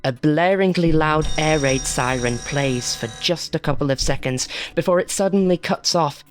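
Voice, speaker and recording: A woman's voice: deep voice, normal speed, music in the background. A woman reading a scripted short horror story as its narrator.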